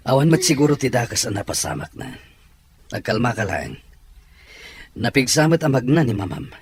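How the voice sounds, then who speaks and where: A man playing a calm, reassuring helper in an audio drama. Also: medium pitch, normal speed, slight background noise, natural voice. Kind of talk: amateur audio drama acting.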